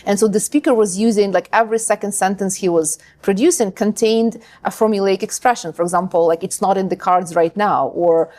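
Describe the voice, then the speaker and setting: A woman's voice: mid-range voice, a little fast, few pauses, a little mellow. A woman from Ukraine, a language school founder, talking casually as a podcast guest, full of fillers and tag questions. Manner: chatty, many fillers and "right?". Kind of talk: podcast interview.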